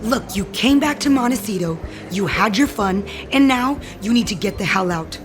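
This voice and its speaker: A woman's voice: mid-range voice, expressive, normal speed, over music, close to an everyday voice. An actress playing a bitter, threatening wife in an audio soap opera, cutting and commanding as she confronts her rival. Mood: scornful.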